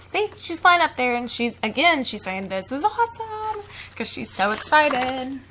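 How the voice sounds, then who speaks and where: A woman's voice: high-pitched, unhurried, noisy background. A woman reading picture books aloud to children like a teacher, expressively, with simple casual asides. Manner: expressive, simple explaining to young listeners.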